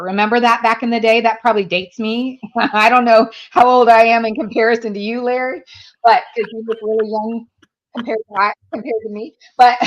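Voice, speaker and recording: Chatty woman, German native, lo-fi sound. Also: on the high side. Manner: chatty and candid, laughs often. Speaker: sales trainer guest. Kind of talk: casual interview chat.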